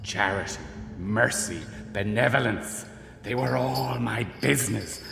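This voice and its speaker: A man playing the ghost of a dead old businessman in a radio play, speaking in slow, grave, booming pronouncements. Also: vocal fry. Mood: solemn. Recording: echoey ghostly reverb with music.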